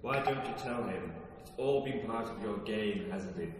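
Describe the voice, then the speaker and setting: Audio drama detective, male actor: knowing, superior, lowish voice, heavy echo over a noisy recording. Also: close to an everyday voice. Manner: condescending, deliberate. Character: cool, knowing, arrogant male detective confronting his nemesis. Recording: very echoey, distant, noisy hall.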